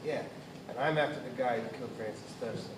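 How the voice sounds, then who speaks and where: A man's voice: mid-range voice, fast, natural voice. A man playing a skeptical, exasperated detective, blunt and wry, in a hard-boiled radio play. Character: skeptical, exasperated, practical police detective partner. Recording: echoey, distant, noisy stage recording.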